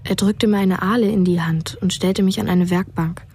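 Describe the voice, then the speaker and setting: A woman's voice: mid-range voice, fairly even intonation, moderate pace, dark tone, slight background noise, lightly acted. A woman playing a numb, watchful ten-year-old boy, narrating in a matter-of-fact radio drama style. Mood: numb, observing.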